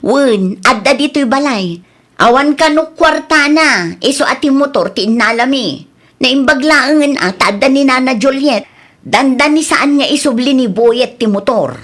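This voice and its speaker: Female actor for chatty, helpful older sister, high voice, Ilocano Filipino accent, radio comedy drama. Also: animated, moderate pace, a bit bright.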